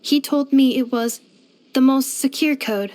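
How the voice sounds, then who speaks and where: A woman's voice: high-pitched, darkish tone. A woman voicing a polite, earnest female robot in a video game, speaking formally and precisely. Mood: gentle, nostalgic. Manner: formal, precise, measured delivery with clinical machine-like phrasing. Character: polite female robot maid, earnest, gentle and literal-minded. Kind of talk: scripted video game character voice lines.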